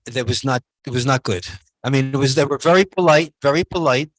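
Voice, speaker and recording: Soft-spoken halting man, dry mic. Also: medium pitch, no echo, low-quality recording. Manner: halting, soft-spoken, many pauses and restarts. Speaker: guest lecturer. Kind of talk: answering audience questions in a lecture.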